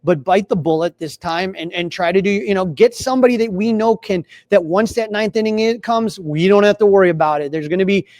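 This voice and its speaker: A man with a distinctly high voice and an American accent talks sports casually. He rambles and circles back over his phrases, recorded close and dry. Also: brisk, few pauses, darkish tone, no room sound. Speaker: sports podcast host.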